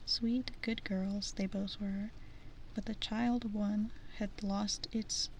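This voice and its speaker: A woman whispers softly into a close mic, reading slowly in a flat, even tone. Her voice stays hushed, with gentle self-deprecating asides between passages. A faint hiss sits under the recording. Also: medium pitch, low-quality recording. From American Midwest. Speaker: ASMR streamer.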